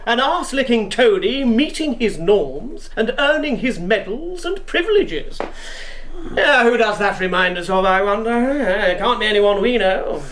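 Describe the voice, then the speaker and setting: An actor playing a tipsy, sardonic British intelligence officer in a radio drama, talking in a theatrical way. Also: male voice, sits high, animated, moderate pace, fairly bright tone, roomy sound.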